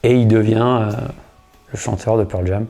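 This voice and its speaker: A man in his thirties, a guitarist and singer, telling a story in a casual interview. He uses a lot of slang and often starts over or pauses with fillers. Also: lowish voice, a little hiss or hum. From United States. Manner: relaxed, slangy, many fillers and restarts.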